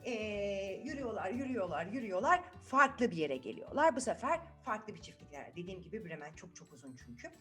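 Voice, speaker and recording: A woman's voice: medium pitch, big pitch swings, fairly quick, hardly any pauses, soft background music. A woman telling a children's fairytale in a chatty way, asking rhetorical questions and repeating words for effect. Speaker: children's storyteller.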